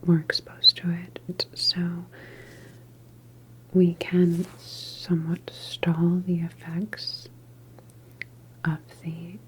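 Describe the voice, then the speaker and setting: A woman playing a calm, reassuring government doctor in a sci-fi ASMR roleplay, explaining things gently in a soft whisper. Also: on the low side, drawn-out words, long pauses, a little hiss or hum, poor audio. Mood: calm, measured.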